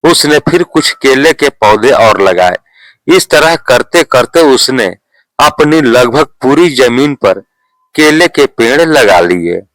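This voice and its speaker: A man narrating a scripted moral story as a storyteller, in a measured, deliberate manner. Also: medium pitch, a bit bright, dry recording, low-quality recording.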